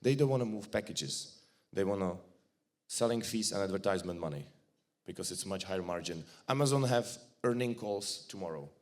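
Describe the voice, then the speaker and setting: A man's voice: low-pitched, expressive, a little fast, darkish tone. Czech-accented man giving a casual conference talk. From Czech Republic. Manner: casual, joking, blunt speech with restarts. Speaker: conference speaker. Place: conference hall.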